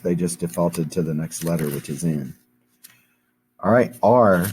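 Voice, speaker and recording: Hoarse, low-voiced male teacher. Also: mellow, slight background noise, low-quality recording. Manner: patient, explains step by step with fillers. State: hoarse, losing his voice. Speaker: chemistry teacher. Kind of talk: recorded classroom lecture.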